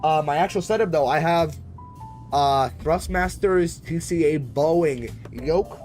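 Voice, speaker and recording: A man's voice: a little high, a little slow, a little mellow, soft background music. A man chatting casually as he live-streams a flight simulator, in a rambling, slangy, conversational way. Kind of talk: casual live-stream chat while gaming.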